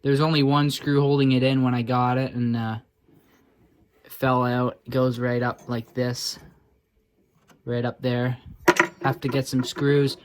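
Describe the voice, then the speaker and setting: A man's voice: medium pitch, flat delivery, unhurried, long pauses, a little hiss or hum. A man giving casual, hands-on repair commentary as a hobbyist, thinking aloud in an informal way. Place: indoors.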